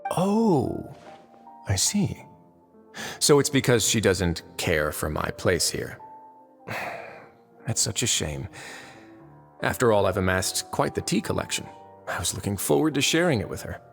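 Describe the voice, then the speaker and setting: An actor playing a suave, calculating fortress lord in a game, speaking with measured courtesy and veiled menace. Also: male voice, on the low side, sing-song, vocal fry, heavily acted. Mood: mock disappointment. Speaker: voice actor in a dubbed video game. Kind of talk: theatrical game cutscene dialogue. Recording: long reverb under game music.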